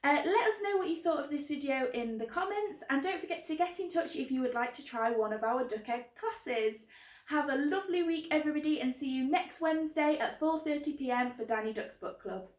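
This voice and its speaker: A woman's voice: high-pitched, animated, brisk. A woman presenting a children's book club in an encouraging way, recorded with a roomy echo. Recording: roomy, echoing sound.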